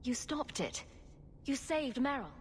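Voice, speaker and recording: An actress playing a steady, blunt human adventurer in a video game cutscene. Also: female voice, high voice, a little slow. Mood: concerned. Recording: long hall reverb, faint noise.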